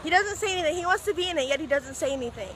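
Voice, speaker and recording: A teenage girl chatting to her phone camera in a casual, giggly vlog, with a raspy voice after a game. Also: high voice, expressive, rapid-fire, a bit bright, slight background noise. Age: teens. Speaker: vlogger. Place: outdoors by a road, then a classroom, then a car at night. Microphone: phone held at arm's length.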